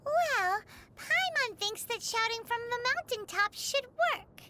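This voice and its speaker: A woman voicing a tiny, excitable, childlike fairy companion, chirpy and eager, in a video game. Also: extremely high pitch, takes it slowly, heavy background noise, exaggerated voice. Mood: earnest, eager. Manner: chirpy, refers to herself in third person. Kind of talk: video game character voice lines.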